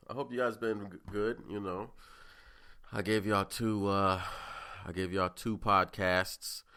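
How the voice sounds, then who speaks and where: A stuffed-up young man in his twenties with a low voice and a bright, forceful edge to it. He rambles through a loose, chatty solo podcast, sniffling between his asides. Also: smooth. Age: 20s. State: congested, sniffling. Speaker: podcast host. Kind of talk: casual solo podcast chat.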